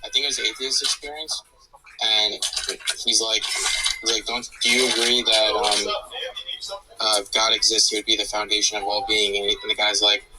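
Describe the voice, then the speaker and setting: A man's voice: lowish voice, little pitch movement, moderate pace, rough recording. A man in a casual live-stream chat, talking hesitantly with many fillers.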